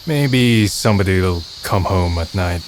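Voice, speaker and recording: A man voicing a weary, cynical young man in a manga dub, with a reflective, low-key and dryly blunt delivery. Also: expressive, a little slow, background noise. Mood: low-key, casual. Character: weary, cynical young man narrating his final days. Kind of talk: fan manga dub, first-person narration and dialogue.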